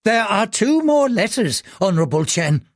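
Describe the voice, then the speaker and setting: A man's voice: sits high, big pitch swings, normal speed, no echo, voice pushed up, heavily acted. Frail old gambler, breathless and pleading, voiced by a male reader, dry close sound. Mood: wheedling. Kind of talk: audiobook character voice.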